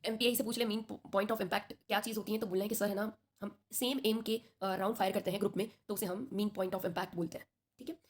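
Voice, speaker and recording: A woman's voice: medium pitch, fairly even intonation, quick pace, breathy edge. A young woman with a sore throat teaching an online class, chatty and reassuring, checking in with her students often.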